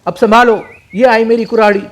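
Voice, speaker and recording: A man's voice: high-pitched, fairly even intonation, a little fast, pitched above the performer's own voice, natural voice. A man voicing a shrewd, confident old village uncle with an assured, admonishing manner.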